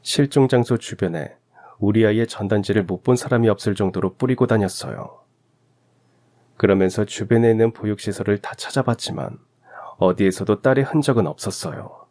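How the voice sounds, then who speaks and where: A man's voice: fairly low pitch, brisk, darkish tone. A man reading a scripted first-person story as a radio narrator.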